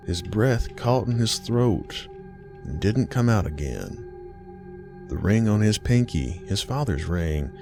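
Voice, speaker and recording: A deep-voiced American man tells a scripted horror story over music. His delivery is very slow and deliberate, with a folksy, ominous drawl, like a host sharing a dark tale by the fire. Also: creaky. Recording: music bed behind the voice.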